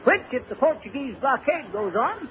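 An actor playing a shirking, blustering husband with folksy grammar and evasive hemming, in 1930s radio comedy style. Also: male voice, very high-pitched, very animated, normal speed. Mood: eager know-it-all. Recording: muffled, noisy old radio recording.

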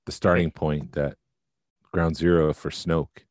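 Man, very deep voice, podcast co-host, casual musing talk, dry close sound. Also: level tone, a little slow, darkish tone, no echo. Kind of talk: casual chat about films.

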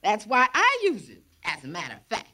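An actress playing a folksy, chatty housewife in a 1940s radio commercial. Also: female voice, huge pitch swings, a little fast, background noise. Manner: folksy, chatty, sales pitch.

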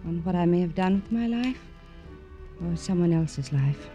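A woman's voice: on the low side, lively intonation, moderate pace, warm tone, lightly acted. Woman acting a moody femme fatale, intimate, questioning, fragmented phrasing, vintage radio noir, faint music behind. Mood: wistful. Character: moody, seductive femme fatale, lonely and probing.

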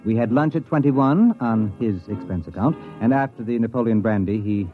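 An actor playing a harried, glib university president in a 1950s radio comedy drama, with a dry, wry delivery. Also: male voice, medium pitch, moderate pace, soft background music, lightly acted. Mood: wry, narrating. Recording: tinny, band-limited old radio broadcast.

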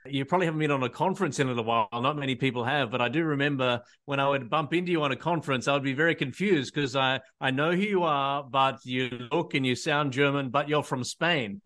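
A man's voice: mid-range voice, quick pace, hardly any pauses, a bit bright. Australian man hosting a podcast. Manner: friendly, jokey, laughs easily. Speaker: podcast host. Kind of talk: scripted intro and casual interview chat.

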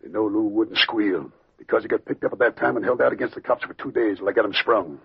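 Resentful crook, very gravelly rasp, grudging, 1940s radio drama, dull recording. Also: male voice, on the low side, fast talker, heavily acted. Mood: accusing. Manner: grudging, slangy. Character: resentful male gangster, loyal to his pals.

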